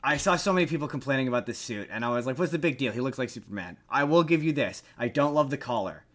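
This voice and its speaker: A man's voice: medium pitch, animated, fast talker. A man hosting a podcast with casual comedic chat, talking animatedly and repeating phrases for comic emphasis. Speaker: podcast host. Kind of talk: casual comedic chat about pop culture.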